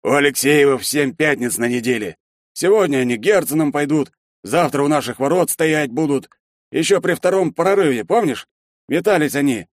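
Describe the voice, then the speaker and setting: A man doing a booming, blunt old glutton. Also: lively intonation, normal speed, no room sound, clean studio sound, close to an everyday voice. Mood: scornful. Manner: booming, mocking. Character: loud, blunt older man, gluttonous and scornful.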